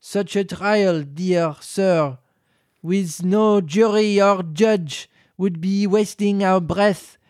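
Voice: medium pitch, slow pace, darkish tone, no echo, natural voice. A reader voicing an easily offended mouse in a storybook reading, severe and indignant. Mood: reciting.

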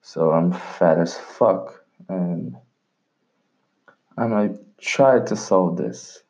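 A young Brazilian man with a slightly North American-tinged accent, hosting a casual solo podcast in a relaxed, rambling way and swearing casually. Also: low voice, extremely slow, highs cut off. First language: Portuguese. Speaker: podcast host.

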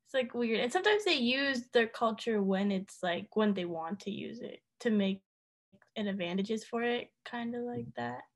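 A woman's voice: fairly high pitch, lively intonation, moderate pace. A teenage girl in casual podcast chat, rambling with lots of fillers and laughing. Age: teens. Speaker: podcast co-host.

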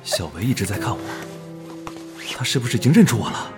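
A man's voice: medium pitch, very animated, quick pace, music in the background, lightly acted. A man playing an anguished man trapped in a small boy's body, plaintive in a modern audio drama. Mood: despairing.

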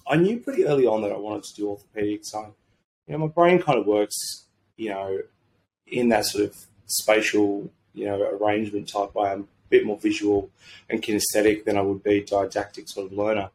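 A slightly croaky Australian man talking in a rambling, informal, mildly sweary way as a podcast guest. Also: lowish voice, steady tone, fairly quick, darkish tone, rough recording. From Sydney, Australia. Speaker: podcast guest, medical doctor and entrepreneur. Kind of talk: casual conversational interview.

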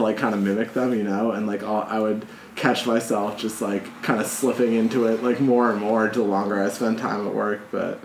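Rambling young podcast host, echoey room. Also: male voice, medium pitch. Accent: Boston. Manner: rambling, hedging with fillers. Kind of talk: casual podcast chat. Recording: echoey room with faint noise.

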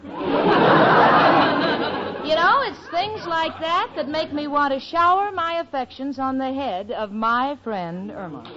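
A woman's voice: sits high, animated, measured pace, pauses often, a loud crowd. An actress playing a young single woman with a wry manner in a 1940s radio comedy. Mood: sarcastic. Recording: noisy, clicking lo-fi old radio recording.

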